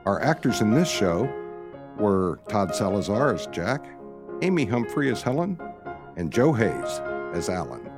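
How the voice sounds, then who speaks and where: A man's voice: lowish voice, big pitch swings, unhurried. A man reading scripted closing credits as the announcer of a radio drama show. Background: music.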